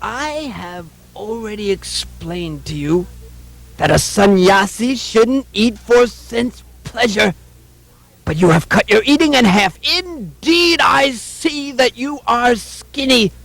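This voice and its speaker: A man's voice: very high voice, lively intonation, takes it slowly, gravelly, a bit bright, dry recording, heavily acted. A man voicing a preachy, critical monk in a puppet show, scolding with emphatic delivery. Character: fault-finding male Indian monk, preachy and critical.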